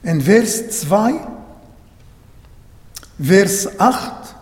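A man preaching a sermon in German that is not his first language, slowly and emphatically, repeating words and restarting phrases. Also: sits high, lively intonation. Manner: halting non-native German, repeats phrases, slow and emphatic. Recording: echoey hall with long reverb.

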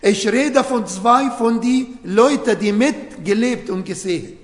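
A man's voice: high-pitched, takes it slowly. A man whose first language is Arabic, preaching a sermon in German with grammar slips and many rhetorical questions, recorded in a church hall. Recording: echoey hall with long reverb.

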